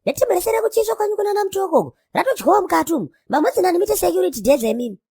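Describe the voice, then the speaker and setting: A woman voicing a cheeky, excitable child in a comedy cartoon, sassy and protesting. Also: extremely high pitch, very fast, dry recording. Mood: cheeky, taunting.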